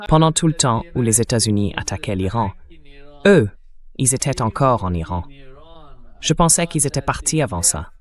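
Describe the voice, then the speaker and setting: A man's voice: medium pitch, animated, moderate pace. A man's AI dubbing voice speaking as the host of a translated interview. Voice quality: synthetic AI dubbing voice.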